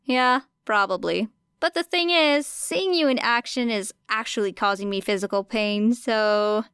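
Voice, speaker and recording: A woman narrator plays a cocky young female street fighter in an audiobook. She talks bluntly and casually, like a teasing coach. The voice is clear and smooth, in a dry, close recording. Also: a little high, unhurried, a bit bright, no room sound. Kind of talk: audiobook character dialogue.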